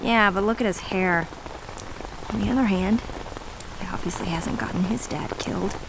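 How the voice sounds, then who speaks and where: A woman's voice: mid-range voice, moderate pace, creaky, background noise, natural voice. An actress playing a prickly, sarcastic seventeen-year-old girl in a radio drama, grieving and snappy. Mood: defiant, sullen. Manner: sullen, snappy. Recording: steady rain behind the voice.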